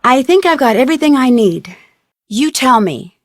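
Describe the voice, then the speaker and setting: An actress playing a polite, inquisitive young woman detective in a video game, speaking in a clear, friendly, conversational way. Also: sits high, sing-song, normal speed, no room sound, studio quality. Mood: mildly wry. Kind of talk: video game dialogue acting, isolated conversational lines.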